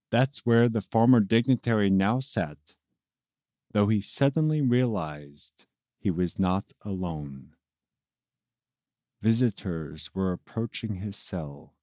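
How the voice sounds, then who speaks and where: Male story narrator: relaxed, dark-toned delivery, slow and deliberate, with theatrical phrasing for scripted tales. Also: on the low side, dry recording, clean studio sound.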